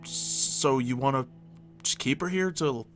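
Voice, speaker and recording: A man plays a troubled zombie-apocalypse survivor in a game roleplay. His medium-pitched voice is halting and doubtful, searching for words. Music plays clearly behind him. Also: lightly acted. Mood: uneasy, doubtful. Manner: halting, unsure, soul-searching. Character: conflicted, guilt-ridden male zombie-apocalypse survivor. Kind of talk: improvised roleplay dialogue and monologue.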